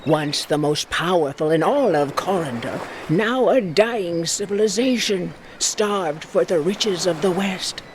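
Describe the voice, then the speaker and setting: A fantasy game narrator delivers scripted lore at a slow, deliberate pace. The voice sits at a middling pitch and tells it with drama. Noticeable noise and ambient game sound run behind the narration. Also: expressive.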